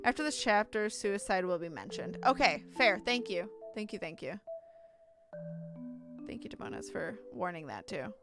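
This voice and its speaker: A woman game streamer with an expressive, irreverent manner, giving casual let's-play commentary and reading game text aloud. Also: medium pitch, moderate pace. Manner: expressive, irreverent, reacts bluntly. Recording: game music behind voice. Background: game music.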